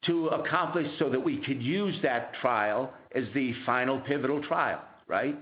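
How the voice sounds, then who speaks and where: A man answering questions as a company executive on a conference call, explaining in a halting way and rephrasing mid-sentence. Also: mid-range voice. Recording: muffled, roomy conference phone line.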